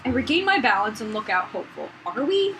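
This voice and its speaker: High lively girl doing a let's play, jokey, reads dramatically, music clearly behind her. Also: fast, poor audio. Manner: joking, animated. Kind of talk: casual chat and dramatic read-aloud of game script. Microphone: not the usual mic, which failed. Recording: game music behind, backup mic.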